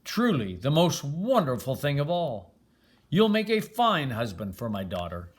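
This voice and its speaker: An older man voicing a jovial, warm-hearted old king in a children's storybook, with a grand manner. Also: mid-range voice, huge pitch swings, measured pace, slightly rough, full character voice. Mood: warm, proud.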